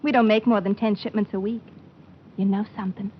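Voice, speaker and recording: An actress playing a chatty, guileless young office secretary in a slangy old radio detective drama. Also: female voice, medium pitch, fairly even intonation, brisk, breathy edge, natural voice. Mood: chatty, naive. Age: young adult. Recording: muffled old-time radio recording.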